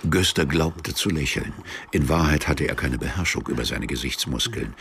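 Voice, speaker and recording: A man narrating a literary radio drama in a measured, detached and deliberate manner. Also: rumbling low, lively intonation, vocal fry, background noise, exaggerated voice. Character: detached literary narrator of a drugged man's ordeal. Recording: music under the voice.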